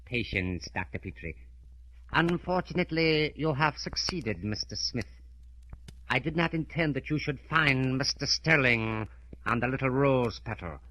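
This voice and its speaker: A criminal mastermind of old radio drama, with a sharp, forceful voice. He is unhurried and precise, wrapping every command in cold, formal courtesy. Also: medium pitch, animated, rough recording. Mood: smug, measured. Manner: formal, precise, menacingly polite. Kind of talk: 1940s radio acting.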